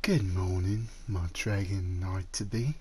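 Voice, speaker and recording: Soft, coaxing dragon suitor, played by a man. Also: deep voice, a little slow, heavy background noise, voice pushed down. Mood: tender, apologetic. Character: male dragon in human form, eager possessive suitor. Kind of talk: roleplay ASMR audio drama, addressing the listener.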